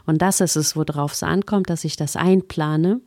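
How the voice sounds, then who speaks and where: Low, mellow-voiced thoughtful woman. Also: steady tone, normal speed, no echo, studio quality. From Afghanistan. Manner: thoughtful, hesitant with many fillers. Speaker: workshop speaker. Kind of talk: radio discussion.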